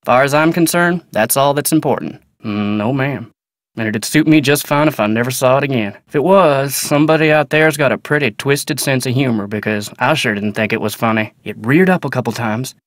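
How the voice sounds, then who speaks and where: A man acting as a plain-spoken American cowboy foreman from a video game. He is polite but guarded, talking in folksy, clipped phrases at a middling pitch, recorded very dry. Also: normal speed, dry recording, natural voice. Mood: guarded, matter-of-fact. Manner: folksy, clipped, matter-of-fact. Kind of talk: naturalistic video game character dialogue.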